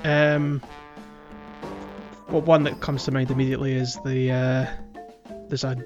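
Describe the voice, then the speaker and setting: A man hosts a relaxed gaming podcast in a medium-pitched voice. He talks casually and jokily, chuckling easily. The audio is slightly lo-fi, with soft music behind him. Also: measured pace. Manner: jokey, prone to chuckling. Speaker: podcast host.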